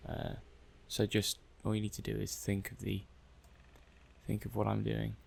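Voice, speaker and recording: A man's voice: on the low side, completely flat delivery, normal speed, gravelly, darkish tone. A man playing a mild, methodical robot-testing technician in an ASMR roleplay, muttering hesitantly and matter-of-factly to himself. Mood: questioning. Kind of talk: soft-paced ASMR roleplay monologue.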